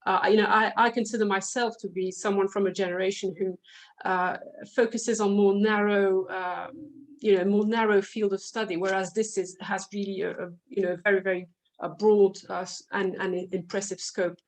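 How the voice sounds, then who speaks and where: A woman's voice: medium pitch, level tone, moderate pace, poor audio. Hesitant woman, French native speaker. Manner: hesitant, many fillers and restarts. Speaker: panelist. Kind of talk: academic panel discussion. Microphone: video call.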